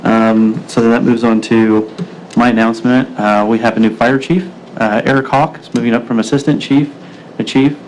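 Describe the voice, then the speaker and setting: A man's voice: medium pitch, fairly even intonation, moderate pace. A man speaking as mayor, running a public meeting in procedural but informal, hesitant language. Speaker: mayor chairing a city meeting. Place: indoor city council meeting room. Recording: noisy room recording of a public meeting.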